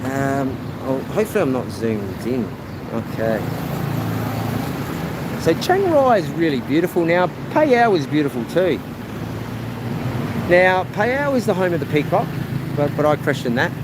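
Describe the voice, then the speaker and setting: A man live-streaming as he walks, chatting loosely to viewers in a loud, projecting voice. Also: fairly high pitch, very animated, unhurried, long pauses. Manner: chatty, rambling, addresses viewers as guys. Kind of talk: casual walking live stream. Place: outdoors on a busy rural roadside. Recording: outdoor stream with loud traffic noise. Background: loud traffic and motorbike noise.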